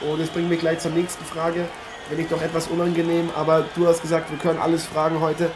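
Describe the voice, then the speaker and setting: A man playing an eager, chatty boxing commentator and interviewer in an improvised radio play, polite and halting. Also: on the high side, completely flat delivery, lightly acted. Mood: earnest, curious. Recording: noisy, with arena ambience behind.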